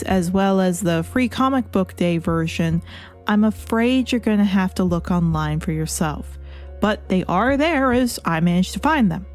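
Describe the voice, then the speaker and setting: A woman narrating a scripted video review as a critic, speaking in an emphatic, theatrical manner. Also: fairly high pitch. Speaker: comic reviewer.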